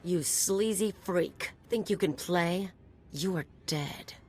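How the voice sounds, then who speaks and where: A woman's voice: lowish voice, takes it slowly, darkish tone. An actress playing a brash, hot-tempered female fighter in a video game, taunting aggressively. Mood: angry, menacing. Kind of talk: video game combat barks.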